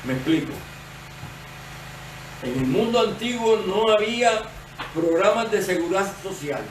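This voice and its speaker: Male preacher, Puerto Rican accent, slow and methodical, heard from a distance. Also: high voice, expressive. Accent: Puerto Rican Spanish. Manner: measured, explanatory preaching. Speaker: associate pastor. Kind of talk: sermon. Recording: roomy, distant church recording with faint noise.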